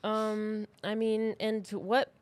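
A woman's voice: mid-range voice, completely flat delivery, slow pace, a little mellow, no echo. Casual woman podcaster, dry close sound. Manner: rambling, joking, informal. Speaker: podcast co-host and storyteller. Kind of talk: casual chat.

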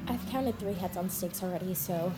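A woman's voice: fairly low pitch, normal speed, music in the background, lightly acted. An actress playing a shy, hesitant teenage girl in a naturalistic audio drama. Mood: shy, nervous.

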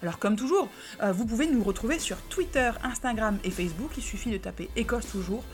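A woman's voice: fairly high pitch, a little fast, faint music behind. Chatty podcast co-host, a woman. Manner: lively, chatty, with fillers. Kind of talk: casual chat and scripted outro.

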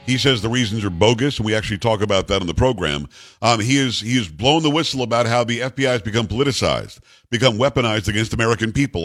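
A man with a deep bass voice hosting a talk radio show, loud and upbeat, bantering with his studio crew. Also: no room sound. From New York. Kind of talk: energetic talk radio monologue.

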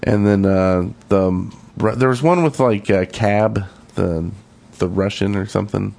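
A man's voice: fairly low pitch, unhurried, creaky, darkish tone. A man co-hosting a gaming podcast, chatting casually with a wry, joking manner. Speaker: podcast co-host.

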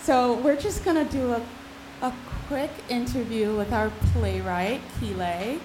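A woman's voice: fairly high pitch, unhurried, crowd noise, noisy background. A woman hosting a casual live interview with a guest, speaking informally. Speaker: interviewer introducing a playwright.

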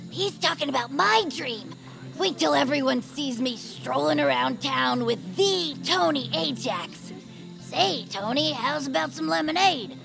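Super high, gravelly-edged voice of a starstruck girl adventurer, gushy and dramatic, audio drama. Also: lively intonation, over music, exaggerated voice, poor audio. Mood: dreamy. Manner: gushing, dramatic, quick to panic. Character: eager excitable young heroine fan.

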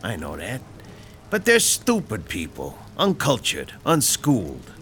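An actor with a Brooklyn accent playing a vain, self-taught mob boss of about thirty in a radio drama, who keeps correcting his own rough grammar. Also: fairly low pitch, wildly swooping pitch, a little slow, a bit bright, slight background noise, heavily acted. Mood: boastful. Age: 30s. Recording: radio drama with music behind.

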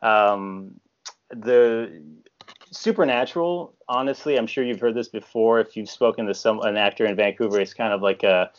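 A low-voiced francophone Canadian actor on a remote podcast interview, feeling his way through answers over slightly muffled audio. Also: moderate pace. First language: French. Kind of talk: casual interview chat.

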